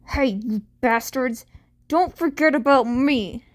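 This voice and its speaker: A woman's voice: high-pitched, slow pace. A woman voicing a hot-headed, aggressive teenage boy hero in a story reading. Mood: furious.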